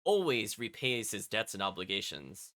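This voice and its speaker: Animated, bright-voiced male game streamer. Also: fairly low pitch, moderate pace. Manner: chatty, often commenting as an aside. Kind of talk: casual livestream commentary, reading game text aloud.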